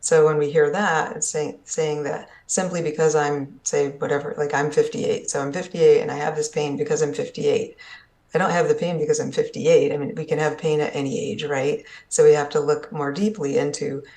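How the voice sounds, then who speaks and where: A presenter at a conference session speaks in an earnest, explanatory way. The voice has a gravelly, fry-tinged edge and sits at a middle pitch. Points often close with a quick "right?" to the audience. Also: flat delivery, darkish tone. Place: summit session at an events complex.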